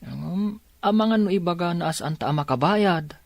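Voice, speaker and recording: A woman's voice: on the low side, animated, moderate pace, creaky, darkish tone, no room sound. A woman with an Ilocano accent playing a worried, devoted child of an ailing mother in a radio drama, tentative and plaintive. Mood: doubtful.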